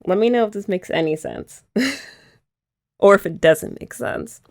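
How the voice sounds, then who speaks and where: A woman's voice: medium pitch, unhurried, gravelly, a little mellow. A young woman doing a chatty ASMR girlfriend roleplay, explaining each step and teasing her listener. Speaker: ASMR creator.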